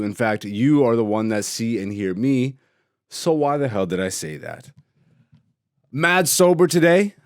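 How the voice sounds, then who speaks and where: A man's voice: medium pitch, smooth, no echo, studio quality. A man hosting his own podcast solo, chatting in a laid-back, joking and profane way, rambling from topic to topic. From Greece. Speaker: podcast host. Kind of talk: casual solo podcast chat.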